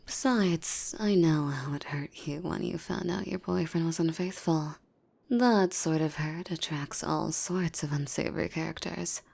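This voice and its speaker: Woman playing a low, gravelly seductive demoness. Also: unhurried, darkish tone, exaggerated voice. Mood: seductive, coaxing. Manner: formal, commanding, coaxing, often slipping into breathy whispers. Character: possessive, seductive, menacing female demon master. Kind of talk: roleplay ASMR acting, intimate monologue.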